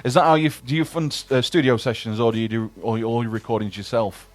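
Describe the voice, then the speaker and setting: Fast-talking radio presenter man. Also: medium pitch, steady tone, dry recording. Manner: chatty, rambling questions. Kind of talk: casual radio interview. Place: radio studio.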